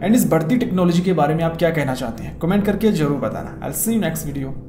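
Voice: mid-range voice, animated, a little fast, warm tone, roomy sound. A narrator presenting a scripted explainer in a conversational way, addressing viewers like friends and mixing in English words. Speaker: video host, narrator. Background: background music.

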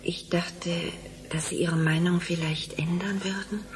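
An actress playing a dreamy, grieving middle-aged wife in a German radio drama, hesitant, wistful and often hushed. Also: female voice, fairly low pitch, fairly even intonation, unhurried, natural voice. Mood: dreamy hope. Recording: clearly noisy background.

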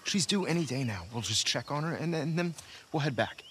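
An actor playing a middle-aged American doctor and devoted father, gentle but resolute, in a naturalistic video game style. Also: a little high, lively intonation, brisk, a little hiss or hum, lightly acted. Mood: warm, teasing. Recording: music under the dialogue.

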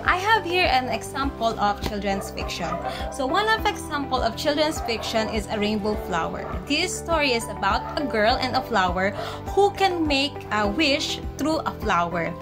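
Young Filipino woman, high presenting voice. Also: very animated, moderate pace. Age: young adult. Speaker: student presenter. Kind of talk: semi-scripted lesson presentation. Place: plain room indoors. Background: music.

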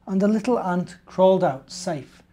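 A British man in his forties narrating a children's picture book with expressive, emphatic, measured phrasing. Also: sits high.

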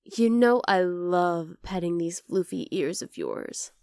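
A woman's voice: lowish voice, expressive, takes it slowly, mellow, smooth, no room sound, studio quality. A woman voicing a teasing, affectionate young wolf-girl girlfriend in an ASMR roleplay, casual and exasperated but tender. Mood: fond, teasing. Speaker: voice actor in audio roleplay. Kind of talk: ASMR roleplay, acted one-sided dialogue.

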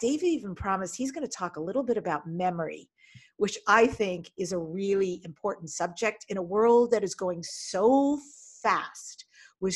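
A middle-aged woman hosting a podcast interview from a room at home, speaking into a desk microphone on a video call in an upbeat, enthusiastic way. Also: medium pitch. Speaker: podcast host. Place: home room with folding screen. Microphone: studio desk mic in front, video call.

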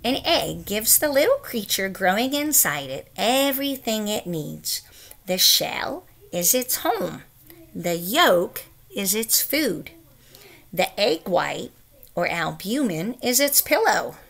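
A woman's voice: medium pitch, big pitch swings, slow, vocal fry, a bit bright. A middle-aged woman reading a children's picture book aloud, with careful, emphatic phrasing for young listeners. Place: small room at home, indoors.

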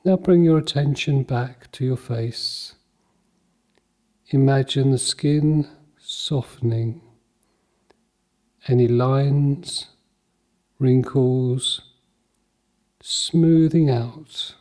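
A man guides a mindfulness meditation in a mellow, relaxed voice of medium pitch. He speaks very slowly and soothingly, in short measured phrases with generous pauses between them.

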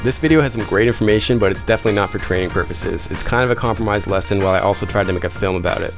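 A man's voice: fairly low pitch, very fast, gravelly, over music. A man who hosts a flying vlog, giving scripted voice-over narration as a student pilot.

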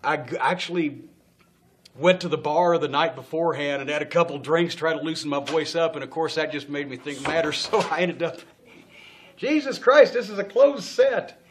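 Animated middle-aged man telling stories. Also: on the high side, moderate pace, a little hiss or hum. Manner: animated storyteller, acts out quoted people, swears freely, laughs often. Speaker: interview guest. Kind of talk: storytelling shoot interview. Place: indoor studio set with stage lights.

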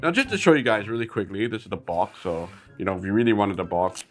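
A man hosting a casual toy review vlog, chatty and easygoing, with sudden bursts of excitement. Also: lowish voice, fast talker, music in the background.

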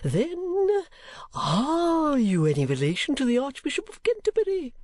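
Florid, mad old gentleman, pitched up. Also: big pitch swings, dry recording, studio quality. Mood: eager, anxious. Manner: florid, pompous, drawn-out phrasing. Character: eccentric, courtly, mad old gentleman, grandiose suitor.

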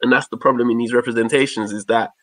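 A man's voice: mid-range voice, fairly even intonation, quick pace, a bit bright, no room sound. A man on a panel making emphatic, questioning arguments over a video call. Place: home room with bookshelves. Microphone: laptop webcam on a video call.

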